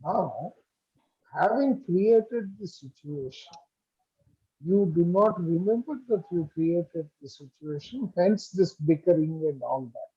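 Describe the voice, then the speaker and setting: A man teaching a spiritual study group over a video call, speaking in a measured way and checking in with questions. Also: high voice, expressive, dark tone, slightly rough, lo-fi sound. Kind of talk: online study group lecture. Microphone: computer mic on a video call.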